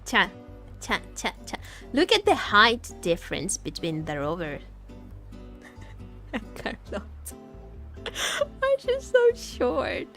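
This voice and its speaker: A woman's voice: mid-range voice, wildly swooping pitch, slow, pauses often, a bit bright, soft background music. A woman streaming a game with casual, playful, rambling chat, teasing and often repeating her phrases. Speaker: VTuber streamer. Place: indoor home streaming setup.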